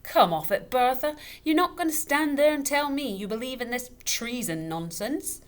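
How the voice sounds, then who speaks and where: A woman voicing a stubborn, tipsy father in a children's story, loud, emphatic and scornful, close to shouting. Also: extremely high pitch, lively intonation, moderate pace, voice pushed up. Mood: jeering. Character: tipsy, stubborn, jeering father arguing loudly. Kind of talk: children's audiobook character voice. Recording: noticeable background noise.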